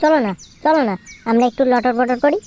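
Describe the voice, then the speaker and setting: A woman's voice: high voice, a little fast, noisy background, lightly acted. A woman voicing a cheeky, boozing young troublemaker in a comic cartoon, with brash, slangy speech. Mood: brash, teasing. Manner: brash, crude slangy talk.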